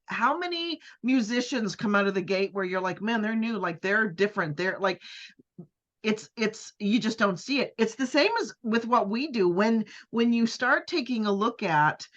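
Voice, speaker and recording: Middle-aged woman podcast host, lo-fi sound. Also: medium pitch. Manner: animated, repeats words and restarts often. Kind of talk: casual interview chat.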